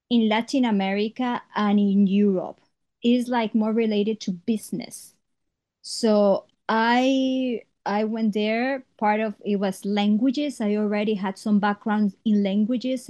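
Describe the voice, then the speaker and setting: A woman from Ecuador with an Ecuadorian Spanish accent, a Spanish teacher and guest, chatting in an animated way with laughs and restarts. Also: fairly high pitch. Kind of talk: casual interview talk.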